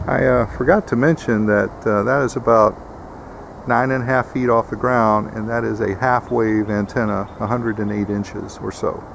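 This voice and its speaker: A man's voice: on the low side, steady tone, moderate pace, creaky, noisy background. A man giving a casual, plainspoken instructional vlog in a folksy way, like a host showing viewers his homemade setup. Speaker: host of homesteading video channel.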